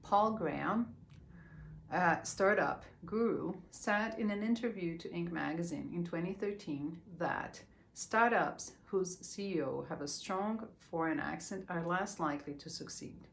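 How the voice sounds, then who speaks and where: Brazilian-accented woman, lowish voice. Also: a little slow, vocal fry. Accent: Brazilian Portuguese. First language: Portuguese. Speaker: speaker. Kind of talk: prepared talk.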